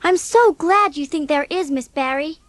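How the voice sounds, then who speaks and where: A woman's voice: sits high, moderate pace, no room sound, close to an everyday voice. A woman playing a fiery, dreamy young girl with earnest, wordy phrasing in a dramatised audiobook. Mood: grateful. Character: fiery, dreamy, earnest eleven-year-old orphan girl.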